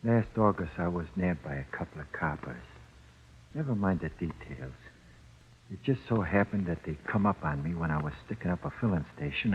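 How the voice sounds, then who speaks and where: A man's voice: deep voice, a little hiss or hum. A man playing a cocky, streetwise small-time crook in a 1940s radio crime drama, with slangy, ungrammatical tough-guy talk. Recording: muffled 1940s radio recording.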